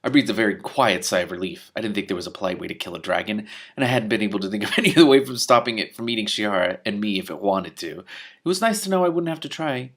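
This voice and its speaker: A man reading a story aloud expressively, adding his own excited commentary. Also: lowish voice, quick pace. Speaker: book reader and commentator. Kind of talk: animated read-aloud with commentary.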